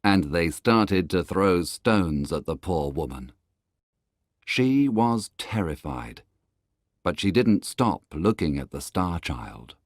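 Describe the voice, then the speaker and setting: A man's voice: sits low, big pitch swings, takes it slowly, no room sound, studio quality. A man reading a scripted children's tale as its storybook narrator.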